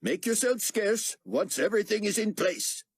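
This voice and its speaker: A man pitches up to play a brusque male security director who gives orders curtly. The husky voice sits high and lively, recorded close and dry. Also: normal speed, no room sound. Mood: curt, commanding.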